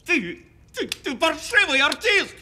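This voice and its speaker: An actor playing an insecure, flustered police officer who threatens defensively and stammers in an audio drama. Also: male voice, extremely high pitch, very animated, slow, bright, forceful tone, full character voice. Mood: panicked, furious. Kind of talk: naturalistic audio film drama acting.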